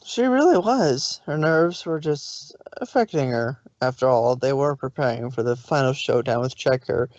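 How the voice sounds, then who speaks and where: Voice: medium pitch, expressive, moderate pace, hardly any pauses, a little mellow, no room sound. A narrator reading a fan fiction story aloud in an amateur production. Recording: dull, lo-fi home recording.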